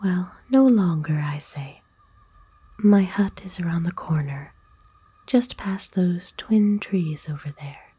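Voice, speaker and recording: A woman voicing a kind, nurturing forest witch in a soothing, folksy, unhurried breathy whisper for an ASMR roleplay. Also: fairly low pitch, lively intonation, dark tone, no room sound, exaggerated voice. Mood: soothing, sympathetic. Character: kind, nurturing forest witch, playful and gently old-fashioned. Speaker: ASMR voice actor.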